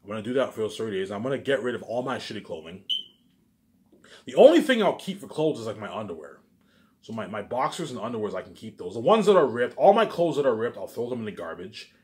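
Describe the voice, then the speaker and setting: A man talks informally to his audience in a slightly low voice. He moves at a very quick clip, circling back on the same phrases and restarting them. His delivery is emphatic, rambling and peppered with swearing. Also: big pitch swings, a little mellow. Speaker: vlogger. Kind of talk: rambling informal monologue to camera.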